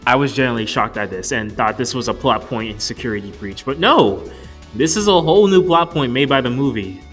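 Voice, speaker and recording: A man's voice: mid-range voice, animated, brisk, music in the background. A man narrating a casual, joke-filled video review in a wry, profane, mock-exasperated way. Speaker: movie reviewer.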